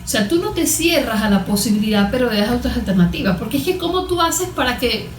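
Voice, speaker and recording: A woman's voice: a little high, lively intonation, fast talker, slight background noise. A woman speaking as a guest therapist in an interview, explaining emphatically with rhetorical questions. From Venezuela. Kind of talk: conversational interview answers on parenting. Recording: roomy, echoing sound.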